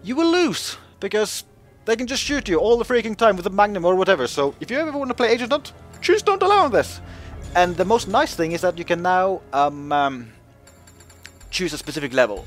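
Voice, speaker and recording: A man's voice: sits high, lively intonation, normal speed, fairly bright tone, faint music behind. A man giving casual commentary over game footage, talking in a chatty way with lots of fillers and voice jumps when joking. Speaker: gaming video host.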